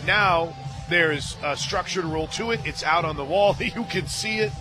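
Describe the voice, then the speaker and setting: A man doing live radio play-by-play of a softball game, mixing commentary with casual banter from a booth at the ballpark. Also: fairly high pitch, moderate pace, over music. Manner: bursts into excited shouts on big plays. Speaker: play-by-play sports radio announcer.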